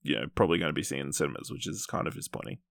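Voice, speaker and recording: A man's voice: rumbling low, big pitch swings, rapid-fire, vocal fry, no room sound, clean studio sound. A man co-hosting a podcast, chatting casually through film and TV news. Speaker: podcast co-host.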